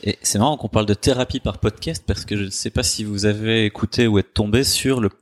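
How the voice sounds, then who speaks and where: Low-voiced man chatting casually. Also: steady tone, fairly quick. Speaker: podcast panelist. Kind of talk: casual chat.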